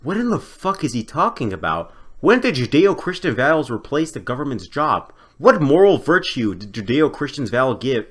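A male commentator mocks what he is watching, sounding sarcastic and exasperated and swearing freely. His voice is bright and tense, and his pitch moves animatedly. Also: very animated, moderate pace, hardly any pauses. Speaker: video commentator. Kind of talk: reaction commentary.

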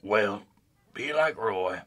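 A man acts a cocky oddball in a radio comedy, low-voiced and deadpan. He takes his time over every phrase, with clear noise behind him. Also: slow pace. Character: slow, cocky oddball man speaking in third person. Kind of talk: comedic audio drama acting. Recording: noticeable background noise.

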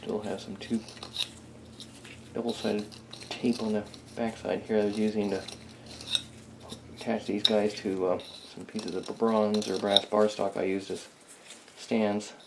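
Male hobbyist, low creaky voice, hesitant and casual workshop talk, noticeable background noise. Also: little pitch movement, a little slow, long pauses. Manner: hesitant, thinking aloud. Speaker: hobbyist restorer, video host. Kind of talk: casual hands-on workshop chat. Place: small indoor home workshop.

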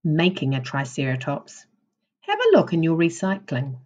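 A woman teacher reading a children's non-fiction book aloud in a deliberate, instructional way for young children. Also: low-pitched, wildly swooping pitch, darkish tone, dull audio. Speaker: primary school teacher.

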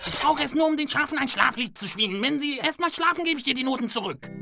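A woman's voice: high voice, expressive, vocal fry, music in the background. A woman voicing a sly, boastful male big bad wolf in a children's cartoon, drawing out the scheming words. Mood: pleading. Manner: drawn-out, boastful, scheming delivery.

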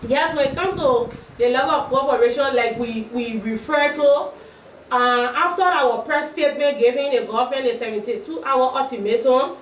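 A woman's voice: high voice, a little hiss or hum. A middle-aged woman from Liberia with a Liberian accent, speaking firmly and steadily as an advocacy spokesperson at a press conference. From Liberia, Nimba County. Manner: firm, measured, restarts phrases. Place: small office with shelves, indoors. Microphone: far camera. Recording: roomy echo from a distant camera.

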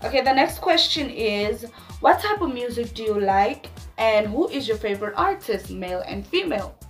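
A woman in her twenties with a Namibian accent, chatting casually to viewers in a vlog with animated slangy asides. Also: on the high side, moderate pace, faint music behind. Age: 20s. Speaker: YouTuber.

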